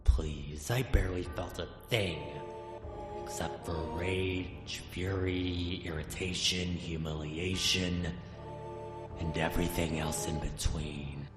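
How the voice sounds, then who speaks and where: A man playing a vengeful demon villain in an audio drama, gravelly and theatrically menacing, gloating and full of hate. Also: low-pitched, fairly even intonation, slow. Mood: cold menace. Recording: echoey long reverb, loud background music.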